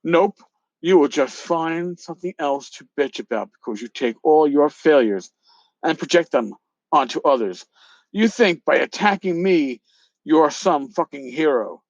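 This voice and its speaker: A man's voice: fairly high pitch, animated, unhurried, dry recording, lo-fi sound. A man playing a defensive, irritable con man in a dramatic reading, curt, insulting and indignant. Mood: bitter, dismissive. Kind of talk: dramatic reading of an email exchange.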